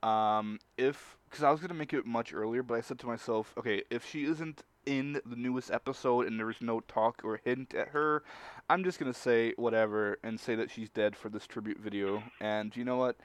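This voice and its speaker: A man co-hosting a casual group chat, talking in a rambling, halting way. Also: mid-range voice, fairly even intonation, dry recording. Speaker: podcast co-host.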